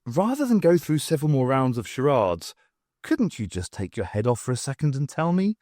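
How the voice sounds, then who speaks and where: A man voicing a brisk, clever adventurous woman who explains things in an audiobook. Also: medium pitch, sing-song, darkish tone, dry recording, studio quality, natural voice. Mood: questioning. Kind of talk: audiobook character voice.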